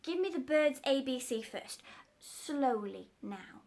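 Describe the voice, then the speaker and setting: Kindly storybook doctor, mild and earnest, lively voice, read by a woman. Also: high-pitched, normal speed, voice pushed up. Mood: mild, curious. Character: gentle, kindly man, a country doctor.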